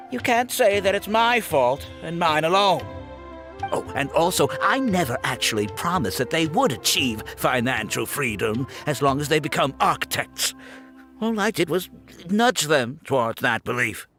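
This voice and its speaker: A scheming architect from a video game, smug and self-justifying. His voice sits a little high and rises and falls dramatically, condescending throughout. Clear music plays behind him. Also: very animated, moderate pace. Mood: dismissive, defensive. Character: smug, scheming male architect running a scam academy. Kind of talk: theatrical video game voice acting. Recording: game audio with background music.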